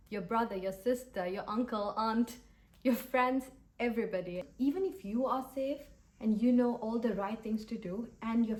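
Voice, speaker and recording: A woman in her late twenties from Sri Lanka, talking brightly and directly to her viewers in a scripted get-to-know-me video. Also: mid-range voice. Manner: upbeat, lively, addressing viewers directly. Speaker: vlogger. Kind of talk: scripted vlog to camera.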